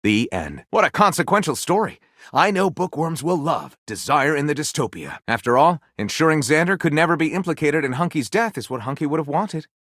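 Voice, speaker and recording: Grandiose storyteller with swooping, animated delivery. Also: male voice, fairly high pitch, brisk, no room sound, studio quality. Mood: mock-solemn narrating, then gushing, excited. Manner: grandiose, mock-solemn, flipping to gushing enthusiasm. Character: theatrical, excitable male storyteller, a datable book-loving narrator character. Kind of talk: dramatic tongue-in-cheek storybook narration.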